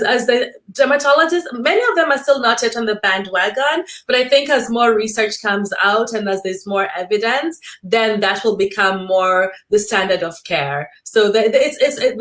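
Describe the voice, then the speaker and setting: A woman's voice: medium pitch, fairly bright tone. A woman from Kenya talking conversationally as an interview guest, explaining things earnestly in a personal storytelling way. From Kenya, Nairobi.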